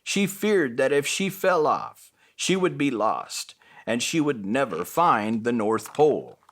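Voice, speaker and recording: A man's voice: medium pitch, very animated, slow pace, a bit bright. A man reading a children's bedtime story expressively as a storyteller.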